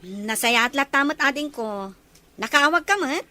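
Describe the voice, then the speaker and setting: A woman acting a caring older sister in a radio drama, animated and solicitous. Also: high voice, normal speed. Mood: pleased, curious.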